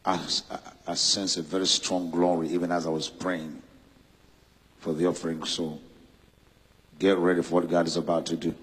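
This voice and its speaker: A man from Uganda preaching a church sermon, fervent and rising to loud proclamation. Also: deep voice, flat delivery, normal speed, pauses often, husky, big-room sound, rough recording. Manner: fervent, slips into loud shouted preaching and scripture reading. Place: church hall.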